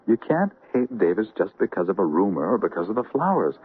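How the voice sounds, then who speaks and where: A deep-voiced, fatherly skeptic in an old radio mystery. He reasons patiently with lively swings of pitch, on a muffled, hissy recording. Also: brisk, husky. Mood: reasoning, exasperated. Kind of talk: 1970s radio drama acting.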